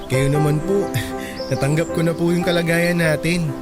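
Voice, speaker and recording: Lalaking gumaganap na magalang na binata, mataas-taas ang boses, may musika. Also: male voice, on the high side, steady tone, moderate pace, loud music behind the voice, lightly acted. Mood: reassuring, hopeful. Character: respectful, patient, hopeful poor young working son. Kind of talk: Tagalog radio drama acting.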